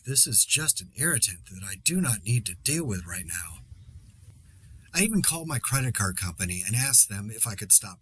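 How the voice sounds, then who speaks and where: A man's voice: medium pitch, bright, forceful tone, slightly rough. A man playing an exasperated podcaster in a zombie outbreak, delivering a dry, sarcastic, rambling solo monologue in a conversational way. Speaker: podcast host.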